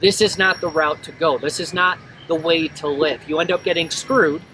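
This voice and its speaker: A man's voice: a little high, steady tone, normal speed, bright, forceful tone. An American man giving a blunt, self-mocking motivational monologue as a vlogger, recorded outdoors from a distance. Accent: General American. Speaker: entrepreneur vlogger. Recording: distant mic with clear outdoor noise.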